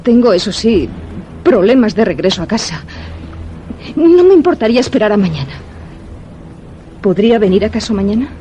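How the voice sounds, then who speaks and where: Actress as expressive, earnest young governess. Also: female voice, medium pitch, moderate pace, pauses often, slight background noise, lightly acted. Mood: resolute. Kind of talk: radio theatre acting.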